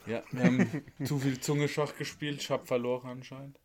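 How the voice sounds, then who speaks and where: A man's voice: mid-range voice, level tone, a little fast, a little mellow. A man co-hosting a casual podcast while a bit under the weather with a cold, tossing in dry, teasing quips. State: has a cold. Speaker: podcast co-host. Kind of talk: casual chat.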